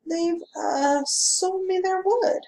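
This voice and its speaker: A woman's voice: very high-pitched, takes it slowly, darkish tone, voice pushed up. A woman voicing a courteous, reserved older man who speaks patiently in few words. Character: courteous, tight-lipped older gentleman with gray beard.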